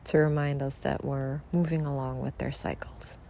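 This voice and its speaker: A woman softly guiding a meditation without hurry, with long pauses and breath at the ends of her phrases. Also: very deep voice, fairly even intonation, vocal fry. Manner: soft, unhurried, with long pauses. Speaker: meditation guide. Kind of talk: guided Yoga Nidra meditation. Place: outdoors in nature. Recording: outdoor recording with faint ambient noise. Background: faint natural ambience.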